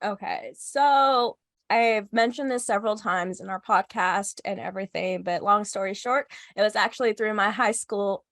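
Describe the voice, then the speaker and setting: A woman's voice: medium pitch, normal speed, fairly bright tone, no room sound, clean studio sound. Stuffy-nosed young woman, nervous and giggly. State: stuffy nose from a cold. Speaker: podcast co-host. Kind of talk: casual podcast chat.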